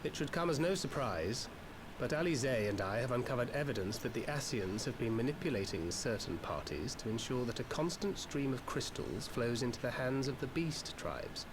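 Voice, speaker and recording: An actor playing a skeptical, stern scholarly adventurer in a fantasy game, speaking formally and emphatically. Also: male voice, mid-range voice, lively intonation, normal speed, hardly any pauses, noisy background, lightly acted. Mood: matter-of-fact. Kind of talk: scripted fantasy game voice acting.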